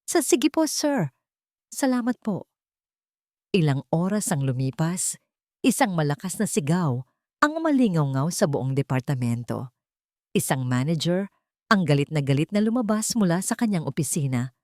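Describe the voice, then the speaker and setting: A Filipino woman narrates a dramatic love story in scripted style. Her voice sits fairly low, and the recording is dry and close, with very little room sound. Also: very animated, normal speed, darkish tone, no echo.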